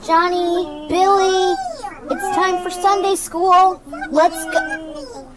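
Lady putting on a squeaky worn-out mom shouting at her boys, very high and drawn out. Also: expressive, drawn-out words, exaggerated voice. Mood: exasperated. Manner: yelling, exasperated. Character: frazzled mom herding two young sons.

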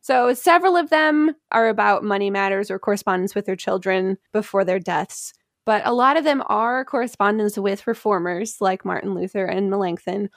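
A woman's voice: medium pitch, dry recording, studio quality. A woman hosting a podcast, telling history in a conversational way among friends.

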